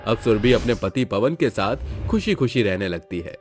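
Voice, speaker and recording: A man's voice: lowish voice, sing-song, normal speed, soft background music. A man narrating a scripted cartoon family story.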